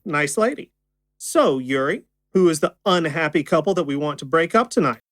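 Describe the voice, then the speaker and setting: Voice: medium pitch, animated, normal speed, natural voice. An actor playing a friendly stage magician with an easy showman's patter in an audio drama. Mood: showy, playful. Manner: showman's patter addressed to an audience.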